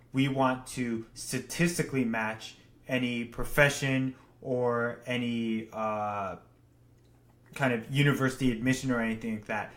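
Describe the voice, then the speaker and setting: A man's voice: medium pitch, fairly bright tone. A man who grew up partly in Japan, co-hosting a podcast and giving blunt, opinionated views in casual chat. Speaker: podcast co-host. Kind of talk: casual discussion.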